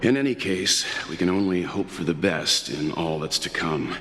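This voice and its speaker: An actor playing a grave, cool-headed strategist in a video game, speaking seriously and deliberately. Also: male voice, sits low, fairly bright tone, long reverb tail, slight background noise. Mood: grave, pensive. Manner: measured, serious.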